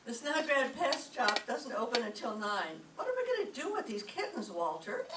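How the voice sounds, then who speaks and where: Wry, wisecracking female teacher played by a woman for radio comedy; very animated, slightly high, far-off mic. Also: normal speed. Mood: brisk. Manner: dry wisecracking delivery. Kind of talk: old-time radio comedy acting. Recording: echoey stage, distant mic, faint noise.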